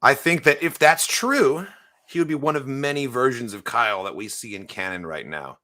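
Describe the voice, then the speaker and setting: A man's voice: mid-range voice, normal speed, bright, forceful tone. A man from New Zealand with a New Zealand accent, hosting a casual podcast chat with enthusiasm and frequent laughs. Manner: enthusiastic, laughs often, fillers. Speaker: podcast host.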